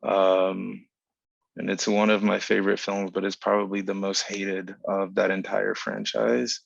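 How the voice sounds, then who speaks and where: A man's voice: deep voice, monotone, a little slow, vocal fry, dry recording, dull audio. A man from South Carolina reading his own literary essay aloud as a poet on an online call. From South Carolina, United States.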